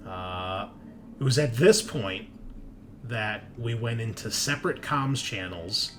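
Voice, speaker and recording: A man's voice: on the low side, animated, slow, slight background noise. A man speaking as a game master, recapping a tabletop roleplaying session in a dramatic storytelling manner.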